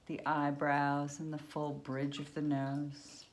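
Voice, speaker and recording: A woman with a low-pitched voice guides a relaxation meditation at a very slow pace. She gives soothing instructions in short phrases, leaving long pauses, with noticeable background noise on the recording. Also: completely flat delivery.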